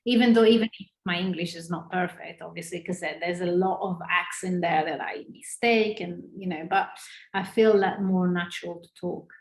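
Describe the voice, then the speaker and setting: Italian-accented woman, halting, echoey room. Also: fairly low pitch, a little mellow. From northern Italy. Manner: hesitant, many fillers and restarts. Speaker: interview guest, former ballerina. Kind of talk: casual interview conversation. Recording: roomy echo.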